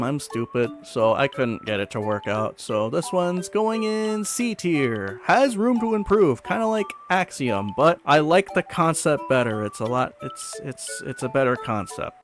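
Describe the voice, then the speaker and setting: A man giving casual, chatty, self-deprecating commentary as a video creator ranking things. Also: mid-range voice. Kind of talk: casual commentary over a tier list. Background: background music.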